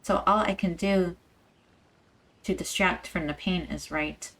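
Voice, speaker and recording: Woman as a low, weary, wounded man. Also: steady tone, a little slow, fairly bright tone. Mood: suffering. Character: wounded, remorseful man writing his last letter. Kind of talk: reading a game letter aloud in character.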